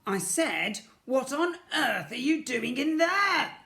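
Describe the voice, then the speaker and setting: A man's voice: very high voice, sing-song, slow, gravelly, sharp tone, voice pushed up, heavily acted. A man voicing a bossy, bullying older sister in a storybook, bellowing and threatening. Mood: angry, demanding.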